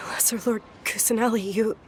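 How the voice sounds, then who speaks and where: A tender, reverent young woman acted by a woman, fairly high in pitch with faint music behind. She speaks haltingly and humbly, as a devoted character in a fantasy game. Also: fairly even intonation, natural voice. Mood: moved, humbled. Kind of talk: video game voice acting.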